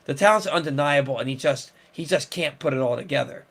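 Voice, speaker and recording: A man's voice: mid-range voice, a little fast, gravelly, fairly bright tone. A man hosting a casual sports talk show, rambling with many restarts and fillers. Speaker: sports podcast host.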